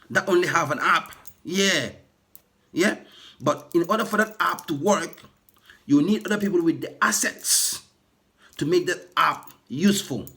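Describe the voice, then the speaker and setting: A man who works as a ride-hailing driver, hoarse from losing his voice, delivering an indignant, repetitive rant to camera for his vlog. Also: sits high, a bit bright. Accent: British. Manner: indignant, repetitive, building to impassioned outbursts.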